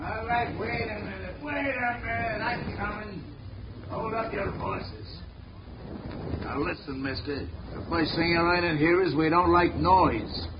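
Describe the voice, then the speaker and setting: A surly brute of an asylum nurse. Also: male voice, high-pitched, unhurried. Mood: impatient. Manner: tough-guy street talk, threatening. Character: gruff muscle-bound male asylum nurse. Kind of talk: 1940s radio acting. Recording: muffled old radio recording with hiss.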